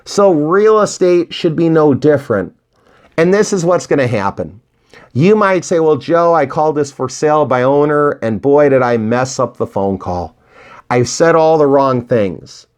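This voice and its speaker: A man's voice: a little high, a little slow. Encouraging, folksy male coach. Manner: animated, acts out imagined dialogue; emphatic, with rhetorical questions. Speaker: real estate investing coach. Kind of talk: motivational tutorial talk to viewers.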